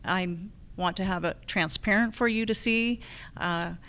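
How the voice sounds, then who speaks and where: A woman's voice: medium pitch, measured pace, fairly bright tone, clear, resonant tone. An American woman speaking as a political candidate at a public forum, addressing voters directly. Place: live candidate forum on a college campus.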